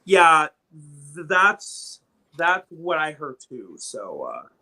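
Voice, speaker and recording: Bright-voiced man in his thirties chatting. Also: a little high, wildly swooping pitch, slow pace. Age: 30s. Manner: rambling, repeats words when starting sentences. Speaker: livestream host. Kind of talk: casual livestream chat.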